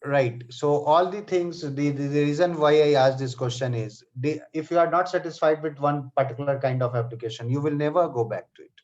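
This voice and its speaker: A man teaching software testing in a live online class. He speaks to students by name and keeps checking in with "okay" and "right". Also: a little high, fairly quick, few pauses. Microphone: computer mic on a video call. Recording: slightly dull online call audio.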